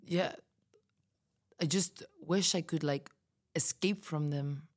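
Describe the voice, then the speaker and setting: A woman's voice: low voice, slow pace. A woman playing a frightened woman overwhelmed by unfamiliar feelings in a fiction podcast, hesitant and repeating her words. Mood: afraid, lost. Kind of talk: fiction podcast roleplay as interview.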